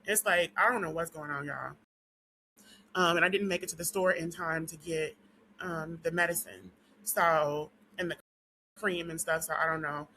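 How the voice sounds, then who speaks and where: Hoarse, very bright-voiced woman ranting. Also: low voice, fairly even intonation, normal speed, a little hiss or hum, poor audio. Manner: rambling and profane, with frequent "um" and "what do you call it". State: losing her voice, dry and hoarse. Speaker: vlogger. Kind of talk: casual rant to camera.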